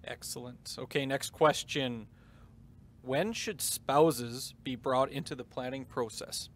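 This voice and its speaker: A man moderating a webinar panel, reading audience questions in a brisk, upbeat way. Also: medium pitch. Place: stage with dark curtains.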